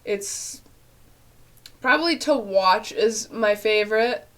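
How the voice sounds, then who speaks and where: A woman's voice: medium pitch, lively intonation, slow pace, fairly bright tone. A young woman with a nasal, congested voice, chatting casually to her webcam as she answers viewer questions at home. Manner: chatty, rambling, frequent fillers and laughs. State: nasal and congested after illness. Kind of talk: casual vlog chat. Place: small bedroom at home, indoors.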